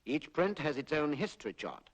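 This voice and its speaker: A British man narrating a 1940s public information film in a formal, measured, explanatory way, on a period film soundtrack. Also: a little high, very animated. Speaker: documentary narrator.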